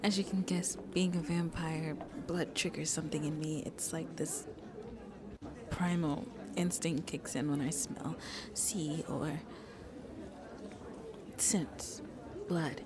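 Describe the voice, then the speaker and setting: A woman playing a shy, gentle century-old vampire who seems in her twenties, soft-spoken and hesitant in an ASMR roleplay, often dropping to a near-whisper. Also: deep voice, fairly even intonation, crowd noise, background noise. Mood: sheepish, apologetic. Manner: hesitant, apologetic, halting, breathy near-whispers.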